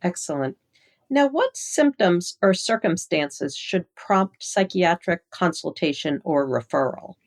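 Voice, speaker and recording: Casting: woman podcast interviewer, formal and professional, animated pitch movement, scripted questions. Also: unhurried.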